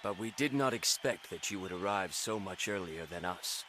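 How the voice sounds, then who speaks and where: An actor playing a proud, chivalrous knight in a fantasy game, speaking in stately, formal phrases. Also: male voice, low-pitched, expressive, moderate pace, bright, forceful tone, slight background noise, rough recording. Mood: solemn respect. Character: proud, chivalrous knight in armour, praising a hero.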